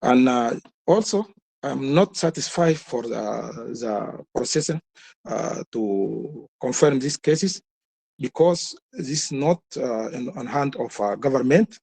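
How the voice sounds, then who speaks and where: A man with a Sudanese accent, a health worker speaking on a webinar, giving a halting, repetitive report that leads into questions. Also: medium pitch, animated, gravelly, darkish tone, slightly rough. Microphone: webinar call.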